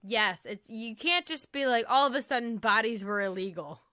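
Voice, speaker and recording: A woman's voice: a little high, normal speed, bright, forceful tone, no echo, studio quality. A woman in her late twenties, co-hosting a casual chat with playful, sarcastic jokes. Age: late 20s. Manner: playful, joking, sarcastic asides. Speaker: podcast co-host.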